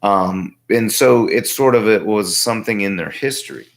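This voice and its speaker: A man in his forties with a tiring voice, talking through political commentary in a halting, reflective way as a livestream host. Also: on the low side, vocal fry. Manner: halting, reflective, thinking aloud. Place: small home workshop, indoors.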